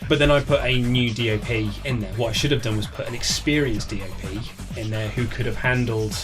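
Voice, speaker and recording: British male, 30s, mid-pitched, anecdotal interviewee who acts out quotes, clear music bed underneath. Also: brisk. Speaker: interview guest, author. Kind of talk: casual anecdotal interview chat. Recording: music playing behind the voice. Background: background music.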